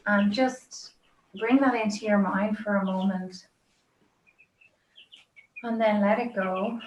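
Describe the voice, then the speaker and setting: A woman yoga teacher guiding a relaxation in a gentle, soothing, unhurried and informal way. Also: medium pitch. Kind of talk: guided yoga relaxation instruction. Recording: roomy echo, dull lo-fi sound, clear background noise.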